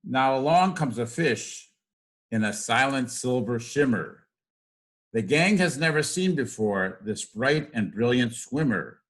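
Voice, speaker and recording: Slow, husky older man reading aloud. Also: medium pitch, expressive, a bit bright. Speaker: grandfather reading to children. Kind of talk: expressive picture-book reading.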